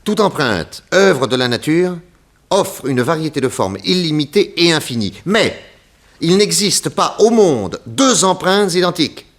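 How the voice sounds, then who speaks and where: A man's voice: a little high, sing-song, unhurried. A man playing a confident detective in a 1960s French radio drama, speaking in a theatrical, emphatic, methodical way. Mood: triumphant, assured. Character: confident male detective laying out an accusation.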